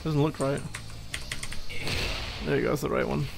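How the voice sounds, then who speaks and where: A man playing a needy young gamer boyfriend in an ASMR roleplay, halting and teasing, with playful exasperation as he explains a game. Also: mid-range voice, steady tone, vocal fry, background noise. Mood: casual explaining. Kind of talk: ASMR boyfriend roleplay, improvised-sounding casual chat.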